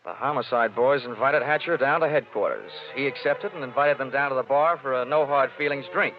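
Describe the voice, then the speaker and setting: An American actor playing a wry, tough insurance investigator in a 1940s radio detective drama, with a clipped, sardonic delivery. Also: male voice, medium pitch, brisk, faint music behind, lightly acted. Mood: dry narration. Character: wry, tough male freelance insurance investigator, hard-boiled. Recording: dull vintage 1940s radio recording.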